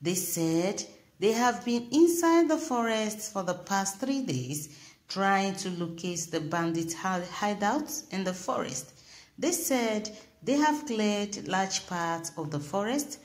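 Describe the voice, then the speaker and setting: Woman news presenter, slow and halting reads, average pitch. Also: expressive. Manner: slow, halting reading with fillers and restarts. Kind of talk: read news report with commentary.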